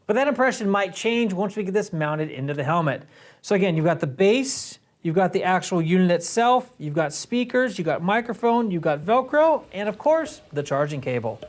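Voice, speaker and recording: A man's voice: sits high, sing-song, a little fast. An American man in his thirties presenting a product overview to camera in a casual, explanatory way. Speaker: product reviewer and presenter for a motorcycle gear shop. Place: indoor video studio set.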